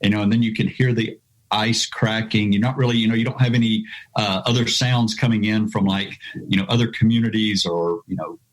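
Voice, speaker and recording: Rambling American man, low voice. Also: steady tone, brisk, poor audio. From United States. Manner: rambling, many fillers like "you know". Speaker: guest, adventure travel writer. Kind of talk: conversational podcast interview.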